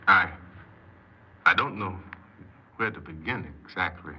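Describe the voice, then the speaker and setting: Deep-voiced, halting, troubled confessing criminal. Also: male voice, bright, forceful tone, husky, full character voice. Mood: hesitant, defensive. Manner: hesitant, halting, earnest. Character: troubled, defensive confessed male criminal, a self-important hypnoanalyst. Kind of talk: 1950s radio crime drama acting. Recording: very noisy, muffled 1950s radio recording.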